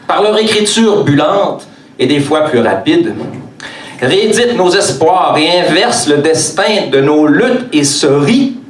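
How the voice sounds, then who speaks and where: A man with a Quebec French accent recites slam poetry very slowly and deliberately. His voice sits fairly high. It is recorded a little far from the mic in an echoey room. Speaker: slam poet. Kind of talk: live spoken-word poetry performance. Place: indoor bar stage. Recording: echoey room, distant mic, faint noise.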